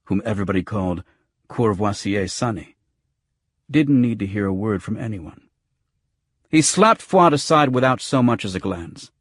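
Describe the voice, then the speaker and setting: A man's voice: fairly low pitch, no room sound, studio quality. A man narrating scripted first-person noir fiction as an audiobook.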